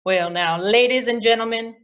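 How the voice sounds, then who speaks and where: A woman's voice: on the high side, moderate pace, a bit bright, lo-fi sound. A woman talking as a storyteller in a theatrical, gushing way, as if reviewing a book for children. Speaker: children's librarian, storyteller and puppeteer.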